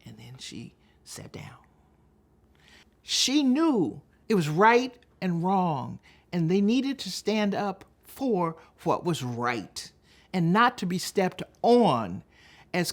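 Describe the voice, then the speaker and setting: Older American female public speaker, slightly low register, measured and emphatic storyteller delivery. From Texas, United States. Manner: deliberate, emphatic, with dramatic pauses. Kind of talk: spoken advocacy talk with storytelling.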